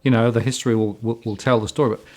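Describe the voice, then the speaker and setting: A man interviewing a guest, asking measured questions and giving brief acknowledgements. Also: mid-range voice, steady tone, darkish tone.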